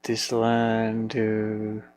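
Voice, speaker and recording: A man's voice: on the low side, completely flat delivery, very slow, a little mellow. A man casually thinking aloud to himself in short fragments as he works at a computer. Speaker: game developer narrating own work. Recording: clearly noisy with hum and clicking.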